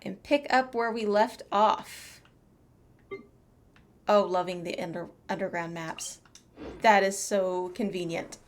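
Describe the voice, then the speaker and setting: A woman's voice: medium pitch, fairly even intonation, slow pace, long pauses. A woman doing casual, playful gameplay commentary as a streamer, chatting away to herself. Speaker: game streamer. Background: game music.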